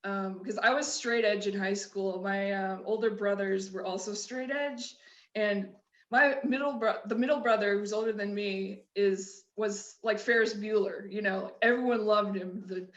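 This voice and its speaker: A middle-aged woman with a flat Midwestern accent shares her story as a podcast guest. She talks candidly and loosely, restarting her sentences often. Her voice has a roomy echo around it. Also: mid-range voice, normal speed. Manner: candid, rambling, with frequent restarts and laughs. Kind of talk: personal storytelling in a conversation.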